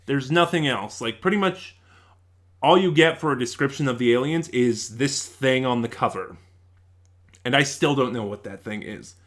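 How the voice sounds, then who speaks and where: Man, mid-range pitch, casual reviewer, unscripted, rambling, thinking aloud, self-correcting. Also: fairly bright tone. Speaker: YouTube audio drama reviewer.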